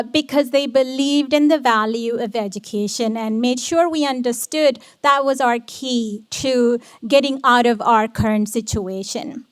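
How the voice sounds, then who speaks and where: A woman's voice: fairly high pitch, no room sound. A middle-aged woman telling her personal story as a panelist at a public event, earnest, with many fillers and restarts.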